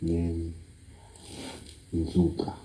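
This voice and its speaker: A man's voice: sits low, monotone, extremely slow, warm tone, slight background noise. A man, a reality show housemate, casually telling a bedtime story and bantering. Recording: echoey indoor room pickup.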